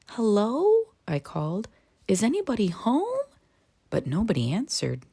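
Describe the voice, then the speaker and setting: A woman's voice: lowish voice, darkish tone, slightly rough, dry recording, clean studio sound, heavily acted. A woman voicing a cheerful, naive, chatty little male snowman who tells his storybook tale to children with wide-eyed exclamations. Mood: hopeful. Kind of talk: animated children's storybook read-aloud.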